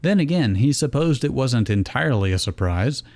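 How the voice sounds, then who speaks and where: Guy reading out fanfic as the narrator, average pitched voice, scripted story. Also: lively intonation, moderate pace, darkish tone. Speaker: reader and narrator. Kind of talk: scripted fan fiction narration.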